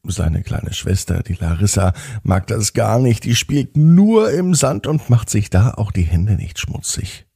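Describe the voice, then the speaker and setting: Deep, slow, gravelly male bedtime storyteller. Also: big pitch swings, dry recording, studio quality. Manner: gentle, unhurried storytelling with dramatic pauses. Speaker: children's bedtime podcast storyteller. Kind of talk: bedtime story narration for children.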